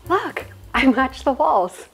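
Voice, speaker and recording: A woman's voice: high voice, sing-song, takes it slowly, creaky, faint music behind. A woman in her thirties hosting a home DIY tutorial at home, chatty and self-deprecating with playful asides, on a lapel mic. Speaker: home decor YouTuber. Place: home interior, hallway and craft table.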